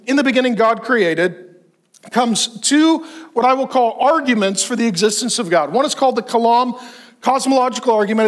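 A man of fifty-one preaching a sermon on a large church stage, animated and joking as he gestures. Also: extremely high pitch. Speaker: pastor.

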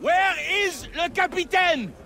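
A haughty French naval officer in a video game. His French-accented voice is extremely high and sharp, indignant and emphatic. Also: expressive, measured pace, exaggerated voice.

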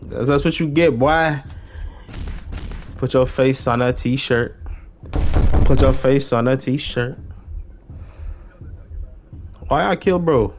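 A young man giving casual live gaming commentary, slangy, repeating short phrases excitedly. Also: mid-range voice, slow pace, long pauses, a little hiss or hum. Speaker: game streamer.

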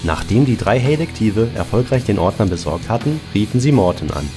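A man's voice: on the low side, animated, brisk, close to an everyday voice. A man narrating a parody detective audio drama in a scripted storytelling style. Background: plucked guitar music.

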